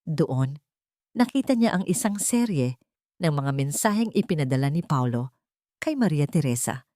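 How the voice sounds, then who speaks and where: Woman narrating drama, lower-pitched, dry close sound. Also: a little mellow, no echo. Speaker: audiobook narrator. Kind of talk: dramatic scripted story narration.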